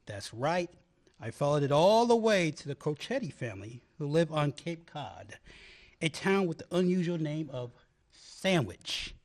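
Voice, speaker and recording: A man's voice: on the high side, big pitch swings, unhurried. A man playing an earnest smitten researcher. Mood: explaining. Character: earnest male lover and historical researcher. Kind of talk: stage radio play acting.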